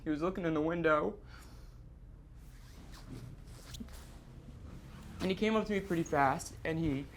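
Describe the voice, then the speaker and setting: An actor playing a distraught, guilt-ridden man on stage, confessing in halting, repetitive, stammered phrases in a live theatre monologue. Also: on the high side, a little hiss or hum, natural voice. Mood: haunted, hesitant. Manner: halting, stammering, repeating himself.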